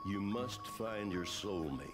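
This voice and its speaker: Low-voiced, solemn cartoon spirit guide. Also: male voice, lively intonation. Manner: grave, deliberate, oracular. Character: wise, cryptic male animal spirit guide. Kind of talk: animated comedy acting. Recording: loud music behind the voice.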